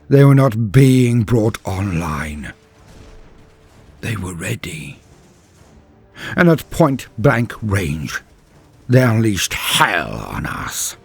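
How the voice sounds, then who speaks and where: A man playing a grave, embittered space-marine veteran, solemnly narrating a dramatic war story in a husky voice with deliberate, heavy pauses. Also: fairly low pitch, no echo, heavily acted. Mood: haunted, grim. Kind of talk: dramatic scripted first-person story narration.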